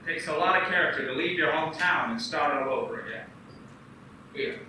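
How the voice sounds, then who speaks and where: A man playing a bright-voiced small-town banker. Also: fairly high pitch, brisk, slight background noise. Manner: teasing and friendly, then frantic. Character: earnest young man, kind banker, panics easily. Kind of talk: amateur radio play acting. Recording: echoey room from a distance.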